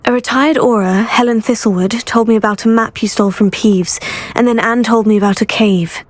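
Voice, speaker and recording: A woman's voice: on the high side, steady tone, fairly quick, natural voice. A woman playing an earnest, curious teenage student witch in a video game, polite and composed. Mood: composed, firm. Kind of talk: video game character acting.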